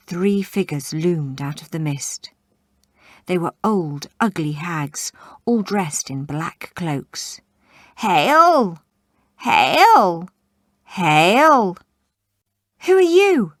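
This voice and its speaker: A woman's voice: deep voice, slow pace, a little mellow, no echo, clean studio sound. A woman reading a story aloud as a scripted read-along narrator.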